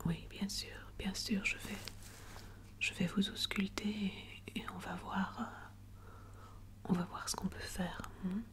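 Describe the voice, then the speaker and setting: A woman plays an attentive doctor in a medical ASMR roleplay. She whispers softly in a fairly flat, unvarying tone. Her delivery is gentle and reassuring, with hesitant pauses between phrases. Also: medium pitch. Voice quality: soft, breathy whisper.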